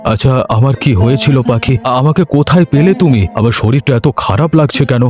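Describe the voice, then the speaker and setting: A man's voice: medium pitch, fast talker, darkish tone, faint music behind, natural voice. A man voicing a groggy, grateful village stranger in a cartoon, puzzled and questioning. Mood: confused. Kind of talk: Bengali cartoon voice acting.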